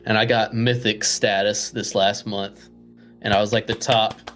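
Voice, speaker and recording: A man's voice: fairly low pitch, little pitch movement, a little slow, music in the background. A man chatting casually among friends about hobbies.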